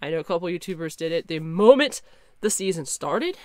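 A young woman streaming a game, chatting casually and excitably with her viewers through a headset, her voice slightly hoarse. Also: fairly low pitch, brisk, no echo, studio quality. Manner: chatty, excitable, talks to chat. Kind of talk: casual live-stream chat.

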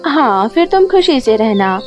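A woman plays a kindly enchanted sandal in a cartoon fairy tale. Her high voice is comforting and reassuring, set over music. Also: sing-song, unhurried. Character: kind, comforting female magical talking sandal. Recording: music under the voice.

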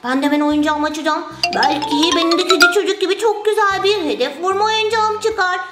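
A woman's voice: very high-pitched, a little fast, reverberant, over loud music. A woman doing playful acted toy play for children in an exclaiming, sing-song manner. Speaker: children's toy show presenter.

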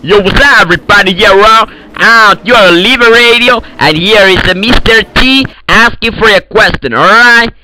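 A man's voice: high-pitched, moderate pace, few pauses, sharp tone, a little hiss or hum, rough recording. A man with an Eastern European accent trolling other players in game voice chat, boastful and in broken English, over a headset. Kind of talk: prank call banter in game voice chat.

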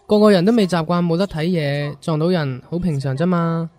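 A man's voice: a little high, fairly quick, a little mellow, close to an everyday voice. A man playing a considerate young boyfriend in a radio drama. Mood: placating. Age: young adult.

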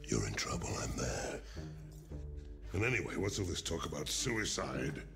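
A man's voice: extremely low pitch, very animated, moderate pace, vocal fry, over music, exaggerated voice. An actor voicing a brash, eager and loyal fat scavenger man in a video game, with a drawling, swaggering delivery. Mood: confident. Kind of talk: video game cutscene acting.

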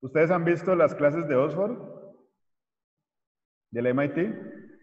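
A man, giving an informal online lecture as a mathematics teacher, haltingly searching for words. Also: on the high side, a little mellow. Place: small indoor room, video call. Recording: muffled, echoey room over video call.

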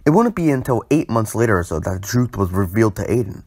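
A man's voice: fairly low pitch, sing-song, quick pace, a little mellow, slightly rough, dry recording. A young man narrating a storytime video informally, with a sardonic manner and bursts of exaggerated emphasis.

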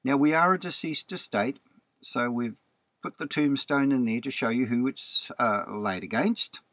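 A man talks through slides like a lecture, repeating words and checking in with "okay" as he explains. His pitch moves a lot from phrase to phrase. The sound is dry and close, with no room around it. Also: a bit bright, no room sound. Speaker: presenter.

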